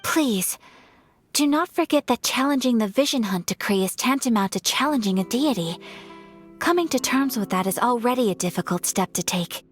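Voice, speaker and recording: A woman playing a refined, composed young noblewoman in a video game, speaking in a formal, measured, polite way with a soft voice. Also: on the high side, expressive, faint music behind, natural voice. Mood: courteous, earnest. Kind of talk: video game dialogue acting.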